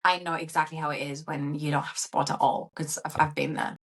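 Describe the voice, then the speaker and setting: A woman from Syria with a fairly low voice, speaking as a podcast guest. She talks in a chatty, animated way and keeps adding little fillers as she goes. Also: fairly quick, slightly rough. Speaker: guest, coach. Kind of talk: casual interview chat.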